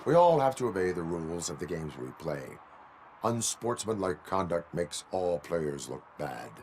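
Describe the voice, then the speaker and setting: An actor playing a stern cyborg police officer in a video game, speaking in formal, stiff, by-the-book phrases. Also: male voice, low voice, big pitch swings, moderate pace, darkish tone, a little hiss or hum.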